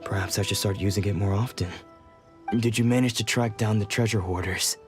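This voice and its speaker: A man's voice: fairly low pitch, fairly even intonation, fairly quick, soft background music, lightly acted. A man playing a stern, brooding swordsman in a video game, speaking in a terse, solemn manner. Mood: curt, deadpan. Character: stern, brooding swordsman who has lost his memory.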